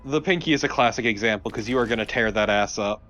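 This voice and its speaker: A man's voice: fairly low pitch, quick pace, sharp tone, slight background noise. Irreverent man bantering over a video game. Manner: irreverent, sarcastic, profane. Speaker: let's play co-commentator. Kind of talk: casual gaming banter.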